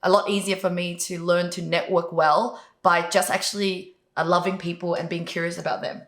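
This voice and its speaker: A woman's voice: on the low side, flat delivery, brisk, roomy sound. A middle-aged woman from Vietnam, the show's host, answering interview questions in a chatty way and laughing now and then. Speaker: host, business coach.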